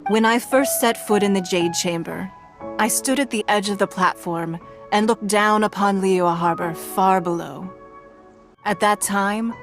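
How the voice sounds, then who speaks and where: A woman voicing a proud, powerful ruler in a game who makes measured, stately speeches. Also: mid-range voice, lightly acted. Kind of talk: English game voice acting.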